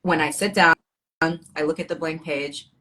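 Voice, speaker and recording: Low-voiced woman poet in casual conversation. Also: fairly even intonation, measured pace, pushed and bright, a little hiss or hum. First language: Arabic and English. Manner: reflective, informal, wryly humorous. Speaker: guest poet and author.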